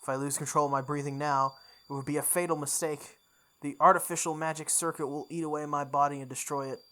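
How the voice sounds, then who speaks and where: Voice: medium pitch, flat delivery, fast talker, bright, forceful tone. A video game playthrough host reading visual novel narration aloud in a dramatic manner. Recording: background music behind the voice. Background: game music.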